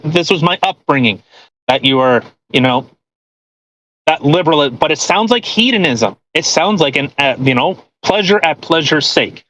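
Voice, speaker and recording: A man co-hosting a podcast, giving casual commentary in halting, rambling explanations peppered with "you know". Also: a little high, fairly bright tone, low-quality recording. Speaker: podcast co-host.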